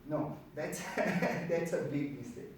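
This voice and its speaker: A man's voice: on the high side, takes it slowly. A man from Brazil with a Brazilian accent and Portuguese as his native language, giving an informal, conversational presentation at a meetup, repeating words for emphasis. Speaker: speaker at a career networking meetup. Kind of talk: informal presentation to a live group. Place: indoor meetup room with an audience. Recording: very echoey room, distant mic, faint noise.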